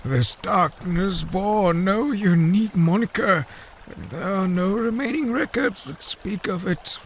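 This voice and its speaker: An elderly, learned royal advisor of a fish people, speaks in a high voice. He is formal and grave, taking his time over every phrase like a keeper of old lore. It is fantasy audio drama, with faint noise in the background. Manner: formal, deliberate, solemn storyteller.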